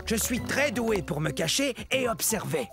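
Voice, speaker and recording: A man's voice: sits high, big pitch swings, unhurried, gravelly, music in the background, full character voice. A man voicing a proud, secretive little meat-eating dinosaur in a children's cartoon, confiding in a dramatic, self-important way. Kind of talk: animated children's educational cartoon monologue.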